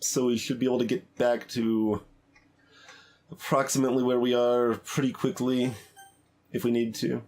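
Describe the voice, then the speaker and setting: A man giving casual video game commentary as a streamer, hesitant and thinking aloud as he plays. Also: medium pitch. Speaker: gaming streamer.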